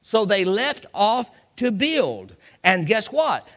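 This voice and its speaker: A man's voice: high voice, big pitch swings, slow pace, a bit bright. A man from the United States preaching an informal Bible lesson in a folksy way, repeating phrases to drive his point home. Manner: folksy, acts out examples and quotes. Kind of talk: informal Bible teaching sermon.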